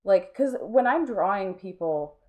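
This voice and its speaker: A woman speaking conversationally in an interview, explaining earnestly and haltingly, with many filler words. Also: mid-range voice, lively intonation, a little mellow. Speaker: interview guest, art studio owner.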